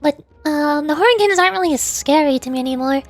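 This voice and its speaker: High-voiced woman chatting casually on stream. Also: fast, soft background music. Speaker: VTuber livestreamer. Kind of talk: casual livestream chat answering viewers.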